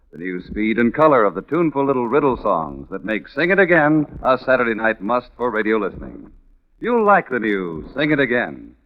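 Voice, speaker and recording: A man with an American accent announcing and promoting programmes in 1950s radio style. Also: mid-range voice. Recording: dull vintage radio recording.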